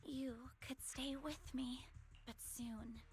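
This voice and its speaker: A woman's voice: high-pitched, fairly even intonation, slow pace. An actress playing a bitter, possessive and commanding young daughter in a dramatic video game, with emotional delivery and music behind her. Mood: bitter, wounded.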